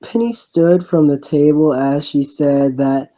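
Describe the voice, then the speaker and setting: A man with a mellow, unforced voice reads story narration and dialogue aloud in an amateur way. His delivery halts and restarts. The microphone sounds a little dull. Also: a little high, fairly even intonation, measured pace. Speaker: reader voicing the protagonist of a fan visual novel. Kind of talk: amateur dramatic reading of first-person narration and dialogue.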